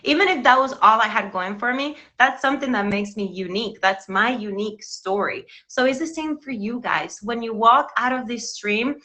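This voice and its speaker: Bright, earnest woman hosting a live stream. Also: a little high, normal speed, hardly any pauses. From Cuba. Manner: earnest, encouraging, addresses viewers directly. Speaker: live stream show host. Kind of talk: motivational live-stream talk.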